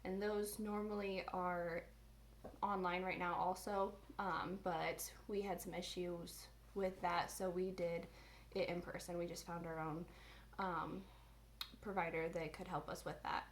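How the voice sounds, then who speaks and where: A woman in her twenties from the US Midwest, chatting casually on her vlog and filling her pauses with ums. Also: on the low side, level tone, a little hiss or hum. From Indiana, USA. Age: 20s. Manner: chatty, many ums and restarts. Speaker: vlogger. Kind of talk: casual chat.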